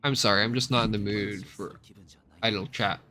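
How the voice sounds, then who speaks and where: A man's voice: lowish voice, fairly even intonation, normal speed, pushed and bright, faint music behind. A man voicing a reserved, weary young male traveller from a space video game, subdued and serious. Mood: weary, withdrawn.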